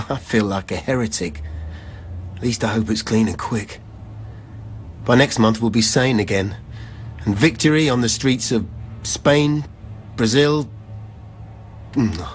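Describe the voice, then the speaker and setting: A man's voice: mid-range voice, a little hiss or hum, lightly acted. A man acting a proud, weary middle-aged labourer in a radio play, speaking conversational verse with wry asides. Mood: wry, tender. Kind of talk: poetic radio drama acting.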